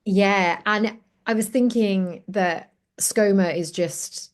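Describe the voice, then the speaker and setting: A woman's voice: mid-range voice, slow pace. A woman from Cardiff with a South Welsh accent, hosting a podcast interview in an effusive, gushing way. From Cardiff, South Wales. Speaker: podcast host.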